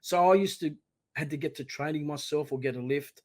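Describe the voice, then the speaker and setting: An Australian man in his sixties answers questions as a podcast guest. His voice sits a little high, and he talks with animated energy, often acting out the encouraging voices of parents. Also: brisk. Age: 60s. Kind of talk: casual interview.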